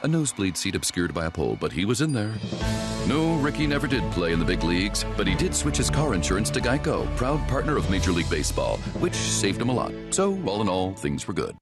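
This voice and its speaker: A man's voice: lowish voice, big pitch swings, fast. A man narrating a scripted radio commercial like a story, mock-earnest and dryly comic. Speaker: advertisement narrator. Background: loud music bed.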